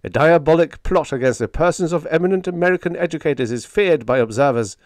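A man playing a male radio announcer reading an urgent bulletin in a clear, crisp, ominous manner. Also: fairly high pitch, fast, no room sound, clean studio sound, pitched above the performer's own voice, natural voice. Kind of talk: old-time radio bulletin.

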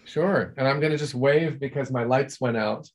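Californian man, composer, relaxed, chatty, video call, home study. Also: medium pitch, normal speed. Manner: relaxed, chatty, restarts and fillers. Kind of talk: video-call masterclass discussion.

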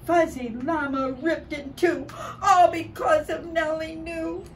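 An older woman reading a children's picture book aloud in an expressive, deliberate way, dramatizing it for young children. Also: very high voice. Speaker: early childhood storybook reader. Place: outdoors by a building wall and door. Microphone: camera a short distance away. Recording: distant mic, clear background noise outdoors.

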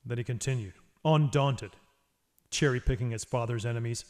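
A man's voice: mid-range voice, normal speed, a little mellow, close to an everyday voice. Man playing brooding vigilante, terse, grim, demanding, radio noir narration and dialogue. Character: brooding, driven masked vigilante detective.

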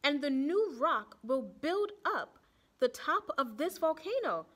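A woman voicing a bright, excited schoolgirl in a storybook, speaking eagerly and emphatically. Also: sits high, slow pace, exaggerated voice. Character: bright, excited schoolgirl working out science puzzles.

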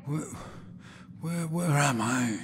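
An actor playing a weak, dazed older professor in pain, gravelly and faltering, in an audio drama. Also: male voice, a little high, slow, in a large hall, a little hiss or hum.

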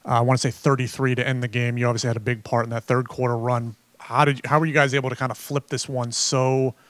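A man's voice: mid-range voice, level tone, fast talker, fairly bright tone, no echo. A man reporter laying out numbers before asking a player a question at a press conference. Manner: careful, recites stats before asking.

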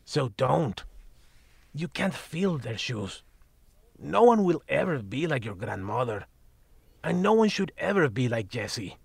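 A man voicing a sardonic magical talking cat who mentors the family, blunt and lecturing, husky in tone. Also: medium pitch, very animated, measured pace, no room sound, exaggerated voice. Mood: wry. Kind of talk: audio drama acting.

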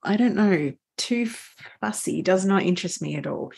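Host voice: woman, husky tone, eager and giggly, conversational. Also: lowish voice, lively intonation, normal speed, darkish tone. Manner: enthusiastic, laughs often. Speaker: podcast host. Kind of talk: casual interview chat.

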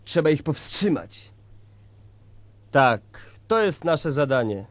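An actor playing a defiant revolutionary idealist with a grave, deliberate dramatic delivery. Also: male voice, on the high side, sing-song.

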